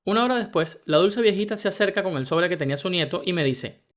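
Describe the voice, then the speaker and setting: A man narrating first-person internet stories in a brisk, even reading style. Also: high-pitched. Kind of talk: scripted story narration.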